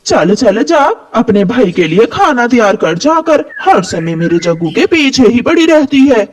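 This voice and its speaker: Mother crow, moral cartoon, male performer: very high, bossy, scolding, unhurried. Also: sing-song, exaggerated voice. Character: harsh mother crow who favours her son.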